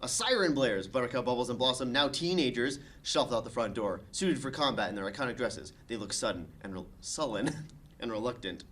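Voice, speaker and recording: A man's voice: medium pitch, lively intonation, a little fast, fairly bright tone. A man reading scene directions as narrator at a comedic table read.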